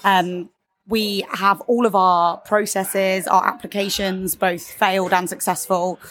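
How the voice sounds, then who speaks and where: A woman, a theatre company member, chatting casually in an interview with many ums and restarts; her voice is slightly husky today. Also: mid-range voice, level tone, a little slow, fairly bright tone.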